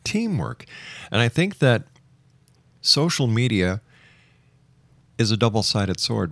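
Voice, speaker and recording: A man hosting a radio interview show, speaking in a measured, emphatic way with deliberate pauses. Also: on the low side, bright, forceful tone, no echo. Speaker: radio talk show host.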